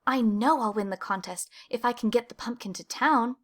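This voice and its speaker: An eager young field mouse from a children's storybook, voiced by a woman, speaks in a high, friendly voice. His lines are emphatic and expressive. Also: moderate pace, natural voice. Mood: eager, agreeable.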